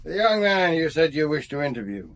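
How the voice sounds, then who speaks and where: An actor playing a matter-of-fact male local police officer in a radio drama, reporting in a brisk, plain manner. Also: a little high, very animated, a bit bright, a little hiss or hum.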